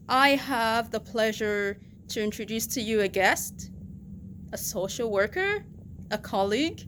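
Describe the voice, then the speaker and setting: A woman's voice: fairly high pitch, fairly bright tone, a little hiss or hum. A woman hosting a video interview, welcoming her guest with polite, formal phrasing. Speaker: host.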